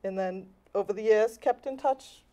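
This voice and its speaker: An older woman speaking as a guest in a studio interview, soft-spoken and reflective. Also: mid-range voice, normal speed. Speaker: guest, volunteer. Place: TV studio set.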